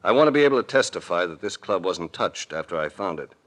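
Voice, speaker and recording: An actor playing a shrewd, calm, world-weary investigator with an American accent and a cool, deliberate delivery in an old radio drama. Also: male voice, low-pitched. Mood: cool deduction. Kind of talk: 1940s-50s radio drama acting. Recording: vintage radio recording.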